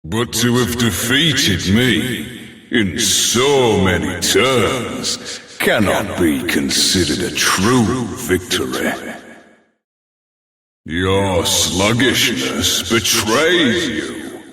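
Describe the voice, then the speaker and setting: An actor playing a proud, ancient superhuman being in a video game, testing mortals with a haughty, formal, menacingly amused manner. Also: male voice, low voice, sing-song, very slow, sharp tone, heavily acted. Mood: condescending. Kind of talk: scripted video game character acting. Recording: cavernous echo with long reverb.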